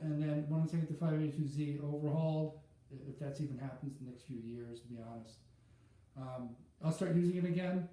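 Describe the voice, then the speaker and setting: A man's voice: fairly high pitch, warm tone. A middle-aged or older man chatting casually and unscripted about his hobby, rambling and hesitant as he thinks aloud. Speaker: audiophile hobbyist vlogger. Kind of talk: casual unscripted product chat. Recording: very echoey room, muffled tone.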